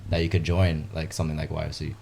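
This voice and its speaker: Male co-host, low dark voice, supportive chat, faint hum behind. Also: level tone, quick pace, creaky. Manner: warm-hearted, affirming, frequent backchannels. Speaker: podcast co-host. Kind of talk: casual chat.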